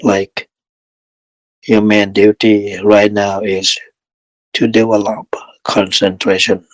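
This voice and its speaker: A man leading a guided meditation as a teacher, speaking calmly in short, measured phrases with long pauses between them. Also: on the low side, no echo, low-quality recording.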